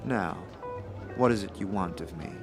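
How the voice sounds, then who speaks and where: A man's voice: sits low, normal speed, soft background music. A man voicing a stern, haughty older noblewoman with formal, disapproving speech in an audio drama. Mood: haughty, scornful.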